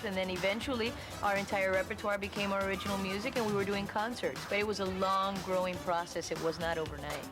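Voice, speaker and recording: A woman's voice: mid-range voice, fairly even intonation, quick pace, few pauses, loud music behind the voice. Chatty woman telling stories in an interview. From Cuba. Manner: chatty, acts out remembered dialogue. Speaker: interviewee, musician. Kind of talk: interview storytelling.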